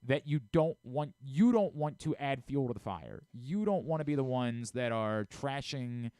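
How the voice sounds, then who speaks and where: A man's voice: mid-range voice, animated, unhurried, dark tone, clear, resonant tone, dry recording, clean studio sound. A man hosting a sports radio show in casual discussion, emphatic and repeating phrases to press his point. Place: radio studio.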